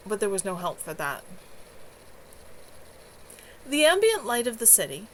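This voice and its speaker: A woman's voice: medium pitch, sharp tone, low-quality recording. A woman narrating fantasy fiction as an amateur author reading her own story aloud. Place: at home. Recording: clear background noise, home livestream.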